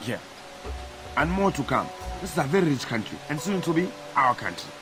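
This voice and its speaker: A man's voice: fairly high pitch, sing-song, fairly quick, over music. A man playing an ambitious militia officer in a video game, chummy and boastful as he persuades. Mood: scheming, chummy. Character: ambitious, scheming militia officer hungry for power. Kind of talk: video game cutscene acting.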